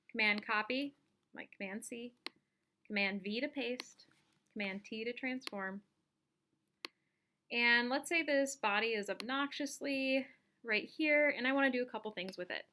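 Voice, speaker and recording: Female tutorial instructor, fairly high and bright, informal step-by-step delivery over slight background noise. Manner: informal, instructional, thinking aloud while demonstrating. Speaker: instructor giving a software tutorial. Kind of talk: casual step-by-step screen-recorded lesson.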